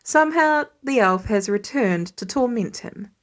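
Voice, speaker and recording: A woman narrates fiction in a fairly high voice. She reads scripted prose as an amateur audiobook storyteller would, telling the tale in the third person. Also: lively intonation, measured pace. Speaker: fan fiction audiobook reader.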